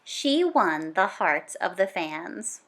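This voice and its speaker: A woman reading a children's nonfiction book aloud in a dramatic, emphatic storytelling manner, with punchy exclamations and drawn-out key words. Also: lowish voice, sing-song, a bit bright. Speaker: storybook read-aloud reader.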